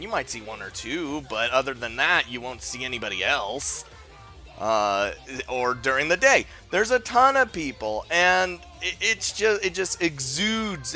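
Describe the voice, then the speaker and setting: Bright-voiced man reviewing over music. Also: mid-range voice. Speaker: video game reviewer and host. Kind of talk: casual top-five list commentary.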